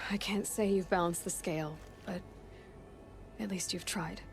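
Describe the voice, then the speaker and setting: A woman's voice: on the low side, steady tone, measured pace, faint music behind. A woman playing a young, determined outcast huntress in a video game, direct and dryly sarcastic. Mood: frank, sardonic.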